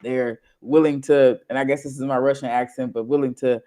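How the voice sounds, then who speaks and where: A man co-hosting a casual political chat show, talking in animated, slangy bursts that rise to loud exclamations. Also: mid-range voice, brisk. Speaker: podcast co-host.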